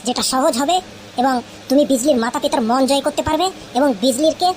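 A woman's voice: sits high, steady tone, quick pace, darkish tone, a little hiss or hum, close to an everyday voice. A woman voicing a crafty, persuasive young sidekick in a comedy cartoon, coaxing and explaining. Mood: reasoning. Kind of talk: exaggerated comedy cartoon dialogue.

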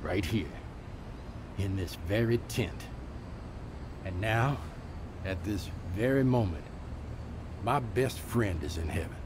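A man's voice: fairly low pitch, slow, long pauses, noisy background. An actor playing an earnest man who lives among the homeless and tells a story in a radio drama. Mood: solemn storytelling. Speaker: storyteller and street preacher.

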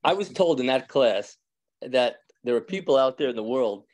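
A man's voice: medium pitch, lively intonation, moderate pace, darkish tone. An older man with a New York accent, a rabbi chatting and joking with his class on a video call, rambling and laughing often. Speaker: rabbi teaching an evening class. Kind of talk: casual chat with class members. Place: indoors at home. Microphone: video call from a phone or webcam.

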